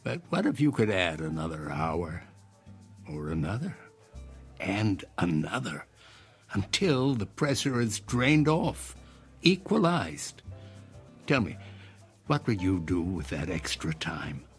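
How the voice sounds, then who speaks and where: An actor playing an eccentric older inventor in an audio drama, speaking in a formal, measured, philosophical and persuasive way. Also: male voice, deep voice, sing-song, soft background music, exaggerated voice. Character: eccentric old inventor, courteous, cryptic, philosophical stranger.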